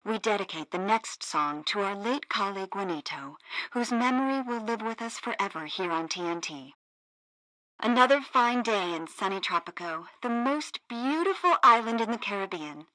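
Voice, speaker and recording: A woman's voice: mid-range voice, animated, normal speed, pushed and bright, no room sound, rough recording. An actress playing a breezy, perky female hippie radio co-host with theatrical DJ patter in a satirical video game. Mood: cheerful.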